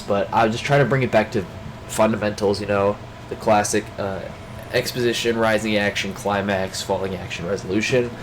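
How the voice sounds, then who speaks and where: A man's voice: fairly low pitch, background noise. A young man with a stuffed-up nose, speaking off the cuff in a casual daily check-in and rambling with lots of fillers and restarts. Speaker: vlogger.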